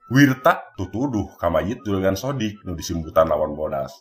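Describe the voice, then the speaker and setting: A man's voice: low voice, animated, moderate pace. A man with a Sundanese accent, narrating a scripted mystery folktale as a storyteller. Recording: music bed behind the voice. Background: background music.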